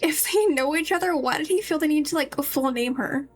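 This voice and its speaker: A woman's voice: sits high, brisk. A woman streamer giving casual, chatty, musing commentary on a game with its music behind her.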